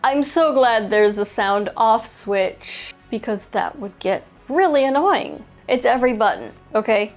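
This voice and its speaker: A woman's voice: on the high side, lively intonation, unhurried, few pauses, faint music behind. A woman giving a casual, unscripted product review as a host, chatting and thinking aloud as she handles items.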